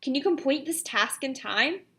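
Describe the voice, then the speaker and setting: A woman voicing a brave, thoughtful king in a picture book, speaking in a hushed, measured, earnest way. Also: high-pitched, animated, exaggerated voice. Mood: quietly urgent. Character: brave thoughtful king, quietly urgent and resolute.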